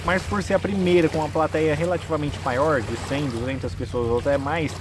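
A man's voice: on the high side, steady tone, brisk. A man in his twenties with a Brazilian accent, explaining things informally to camera as a vlogger. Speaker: vlogger giving nutrition advice. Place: outdoors in a sunny park-like area. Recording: noisy outdoor recording, clear background noise.

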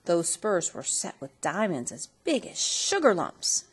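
A woman reading a children's story aloud in an expressive storytelling style. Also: lowish voice, measured pace, slightly rough. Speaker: storybook reader.

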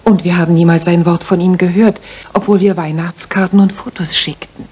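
A woman's voice: fairly low pitch, steady tone, fairly quick, darkish tone, breathy edge. An actress playing an earnest, polite young lady music teacher in a German radio drama, carefully telling her story. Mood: earnest recounting.

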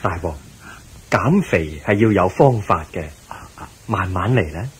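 An actor playing a calm, kindly Buddha in a radio drama, giving advice in a measured, gentle manner. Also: male voice, sits low, breathy edge, heavily acted. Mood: calm advising. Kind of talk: Cantonese radio drama acting.

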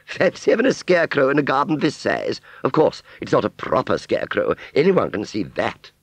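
A man's voice: mid-range voice, sing-song, a little fast, husky, no room sound, pitched above the performer's own voice. A man voicing a snobbish, boastful female fairground doll in a storybook reading, sounding pompous and gossipy. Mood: haughty.

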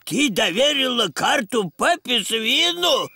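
Elderly grandpa animal for cartoon: gravelly, bright, slow, cheerful and helpful. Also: male voice, extremely high pitch, sing-song, no room sound, heavily acted. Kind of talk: dubbed preschool cartoon acting.

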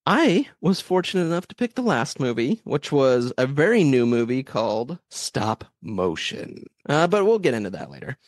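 A man co-hosts a horror podcast and sounds a bit stuffy and nasal from a cold. His pitch swings a lot as he teases and jokes at his own expense. The close mic sounds dry and near. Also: sing-song, normal speed, dry recording. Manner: playful, self-mocking, laughs a lot. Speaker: podcast co-host. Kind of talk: casual movie podcast chat.

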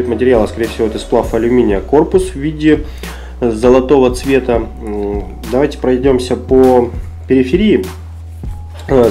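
A man with a slightly hoarse, husky voice from a cold narrates an unboxing in a chatty way, thinking aloud as he goes. His pitch sits in the middle range. Music plays clearly underneath the recording. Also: warm tone. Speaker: tech reviewer. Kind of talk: casual unboxing commentary. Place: office desk indoors.

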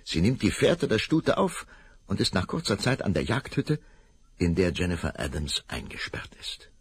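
A man's voice: deep voice, big pitch swings, normal speed, husky. A man narrating a children's radio play, telling the story vividly and dramatically.

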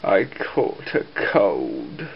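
A man's voice: sits low, wildly swooping pitch, drawn-out words, a little hiss or hum. A young man with a blocked nose from a cold, chatting casually into a handheld camera and laughing often. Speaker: vlogger. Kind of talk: casual daily vlog chat. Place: bedroom at home, later a busy cafe. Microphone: handheld camera at arm's length. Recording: slightly dull lo-fi camera audio, busy crowd.

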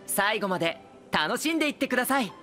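A man's voice: high-pitched, expressive, fast talker, over loud music. A male actor playing a polite, princely young idol who hosts a stage event in an audio drama. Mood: gracious. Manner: courteous, smooth, gently chiding. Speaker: stage host.

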